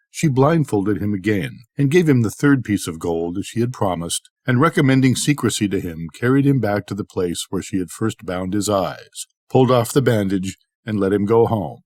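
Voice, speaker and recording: A man's voice: lowish voice, no echo, clean studio sound. An older man reading a bedtime story aloud as a storyteller.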